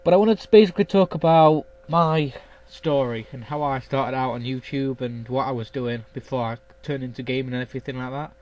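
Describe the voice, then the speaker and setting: A man's voice: medium pitch, brisk, hardly any pauses, darkish tone, muffled recording. Bunged-up guy rambling casually. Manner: rambling, many ums and restarts. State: stuffy nose from a cold. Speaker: YouTube gaming vlogger. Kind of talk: casual chat.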